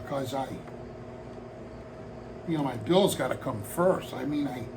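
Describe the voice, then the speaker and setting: A man's voice: medium pitch, unhurried, background noise. A man in his sixties talking casually to his viewers from his home hobby room, meandering and heartfelt, often restarting his sentences. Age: 60s. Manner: meandering, heartfelt, with frequent restarts and trailing asides. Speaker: hobby vlogger. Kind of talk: rambling casual talk to camera.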